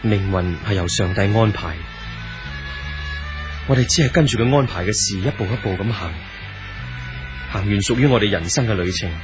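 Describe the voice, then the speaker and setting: An actor playing an earnest, brooding young lover in a 1970s radio drama, solemn and poetic, with a Hong Kong Cantonese accent. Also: male voice, lowish voice, normal speed, pauses often, over music, natural voice. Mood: tender, hopeful. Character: earnest young romantic man, idealistic and brooding.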